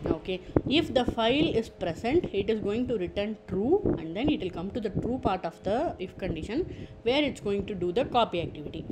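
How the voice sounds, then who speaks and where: A woman presenting an informal software tutorial, explaining step by step and repeating her points. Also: mid-range voice, expressive, fairly quick, very noisy.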